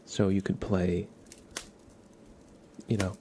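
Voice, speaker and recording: Male ASMR live streamer, low and mellow, soft with slips into whisper, informal halting explainer, slight noise behind. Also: level tone.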